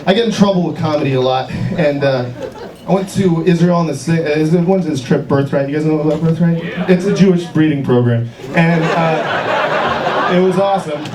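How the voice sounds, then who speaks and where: A man's voice: fairly high pitch, normal speed. A man in his late twenties doing a stand-up set in a comedy club, chatty and riffing with the crowd. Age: late 20s. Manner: conversational, riffing, crowd-work. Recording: roomy club from a distance, crowd laughter. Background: audience laughter.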